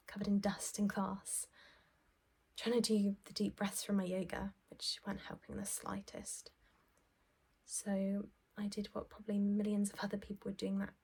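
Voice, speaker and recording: A woman performing a stage monologue as a self-absorbed, well-off young office worker, shaken, halting and sweary as she recounts events. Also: mid-range voice, darkish tone. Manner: halting, sweary, breathy drops into near-whisper. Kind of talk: dramatic stage monologue, conversational first-person recounting.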